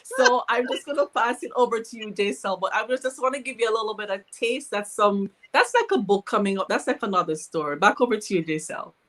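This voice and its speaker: A woman's voice: mid-range voice, animated, fast talker, hardly any pauses, fairly bright tone. A woman guest on a talk show who speaks in an upbeat, affirming way. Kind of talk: casual interview talk.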